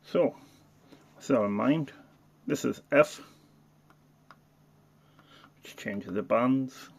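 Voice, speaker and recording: A man casually demonstrating a piece of radio equipment, talking in a halting way with muttered asides. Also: medium pitch, vocal fry, breathy edge. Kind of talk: casual hands-on product demonstration.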